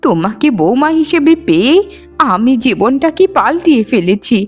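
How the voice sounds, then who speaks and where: A woman's voice: a little high, very animated, normal speed, a little mellow, faint music behind. A woman voicing a frail, trusting elderly mother-in-law in a melodramatic cartoon, with a plaintive delivery. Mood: weary, tender. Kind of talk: melodramatic cartoon moral-story voice acting.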